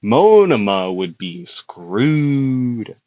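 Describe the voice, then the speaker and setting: A man's voice: medium pitch, very animated, drawn-out words, dark tone, no echo, dull audio. A young man casually telling a fan-made anime story, rambling with fillers and frequent laughs, his voice getting worn out. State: losing his voice.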